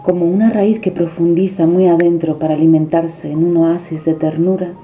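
A woman declaiming poetic prose in a solemn, measured recitation. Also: fairly low pitch, level tone. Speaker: poetry reciter. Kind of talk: declaimed spoken-word poetry. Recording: dull reverberant recording over music. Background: background music.

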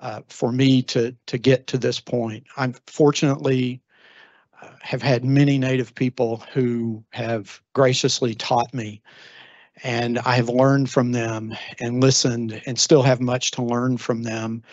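A man's voice: medium pitch, flat delivery, unhurried, a little mellow, no room sound, lo-fi sound. A middle-aged man giving an earnest, thoughtful lecture, with frequent ums and restarts. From Texas, USA. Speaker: guest lecturer. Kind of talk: informal lecture. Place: library meeting room. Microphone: handheld microphone.

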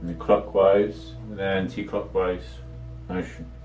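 A man leading a guided meditation with measured, soothing instructions and long pauses, recorded echoey and distant from the microphone. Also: low voice, level tone, darkish tone, faint music behind.

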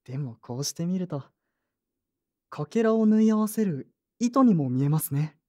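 A woman playing an earnest, polite young man in an audio drama, soft-spoken and sincere. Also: fairly low pitch, lively intonation, moderate pace. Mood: moved, grateful. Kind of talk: Japanese audio drama acting and first-person narration.

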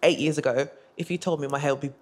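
A woman's voice: sits low, fairly even intonation, very fast. A young woman speaking as a panel guest in a studio, candid and easygoing, quoting other people in a raised voice. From Britain. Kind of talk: casual panel discussion. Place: bright studio set.

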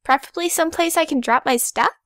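Woman acting a high, bright, timid young girl. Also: fast, dry recording, clean studio sound. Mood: nervous. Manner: hesitant, nervous. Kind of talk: audio drama acting.

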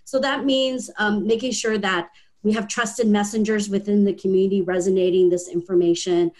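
A woman's voice: mid-range voice, steady tone, moderate pace, darkish tone. A woman in her forties, a nonprofit director speaking earnestly as a panel guest on a video call from home, with frequent ums.

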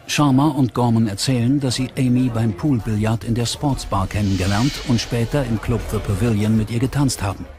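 A man's voice: mid-range voice, brisk, few pauses, music in the background. A man reading scripted true-crime documentary narration as the narrator.

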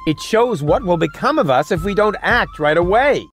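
A man's voice: sits high, animated, moderate pace, fairly bright tone, soft background music. An actor playing a cocky, goofy young man in a video game, glib and theatrical. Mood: earnest. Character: cocky, goofy young man, giggling self-appointed resistance schemer. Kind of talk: video game cutscene voice acting.

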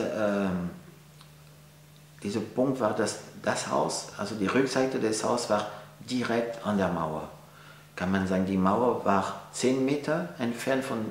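A man's voice: fairly low pitch, creaky. A man in his forties from France, speaking German with a French accent, answering interview questions in a gallery with many fillers and restarts. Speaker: interviewee, painter. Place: art gallery, indoors. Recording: echoey room, faint hum.

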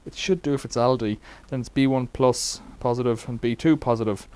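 A man's voice: mid-range voice, steady tone, a little fast, a little mellow, smooth. A middle-aged man casually demonstrating a bike repair in a cluttered workshop, rambling with wry asides.

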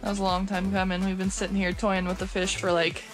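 A woman's voice: mid-range voice, monotone, fast, over music. A young American woman chatting casually and explaining her fishing setup to viewers, recorded on an action camera inside a small tent. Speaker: vlog co-host. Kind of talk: casual outdoor chat.

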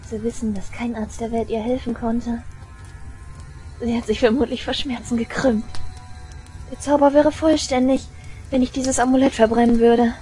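A woman playing an obsessive, unhinged young shrine maiden in an audio drama, intense and deliberate, moving between hushed and frenzied delivery. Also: on the high side, fairly even intonation, faint music behind, close to an everyday voice, rough recording. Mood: gloating, unhinged. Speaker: voice actress.

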